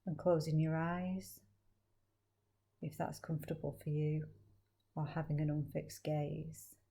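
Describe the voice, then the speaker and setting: A woman leading a guided meditation as a teacher, speaking slowly and gently with long, soothing pauses. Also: sits low, little pitch movement, creaky, husky.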